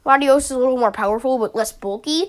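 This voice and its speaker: Young woman, slightly high voice, sniffly from a cold, casual rambling commentary, lots of fillers. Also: fast. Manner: chatty, rambling, with many fillers. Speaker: YouTuber analyzing a draft league team.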